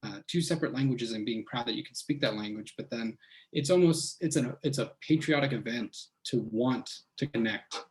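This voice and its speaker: A man's voice: mid-range voice, poor audio. Thoughtful man from Texas. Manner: thoughtful, measured, with fillers. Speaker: participant. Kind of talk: casual online discussion.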